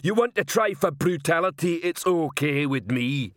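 A man voicing a big, gruff, bullying troll police sergeant in a storybook, with a growl, broken grammar and heavy sarcasm. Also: on the high side, lively intonation, moderate pace, fairly bright tone, no echo, clean studio sound, voice pushed up. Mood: angry, shouting.

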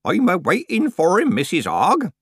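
A man's voice: high voice, wildly swooping pitch, normal speed, a bit bright, slightly rough, dry recording, studio quality, voice pushed up, exaggerated voice. A man voicing a cheerful, stubborn old village man near death, rustic in manner, in an audiobook. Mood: cheerful defiance. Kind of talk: audiobook character voice.

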